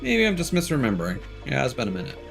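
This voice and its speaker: A man's voice: mid-range voice, huge pitch swings, quick pace, creaky, faint music behind. A man doing casual, wry game commentary as he streams, muttering asides to himself.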